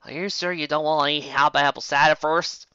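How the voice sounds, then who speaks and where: A woman's voice: deep voice, steady tone, fairly quick, bright, forceful tone, smooth, dry recording, pitched above the performer's own voice. A woman voicing an earnest farm pony mare, in a dull lo-fi home recording. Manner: earnest, emotional, folksy.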